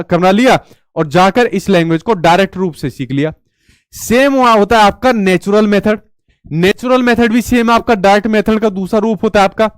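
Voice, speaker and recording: A man's voice: high voice, clean tone, no room sound, studio quality. A male teacher giving an exam-prep lecture in a chatty way, asking rhetorical questions and telling stories.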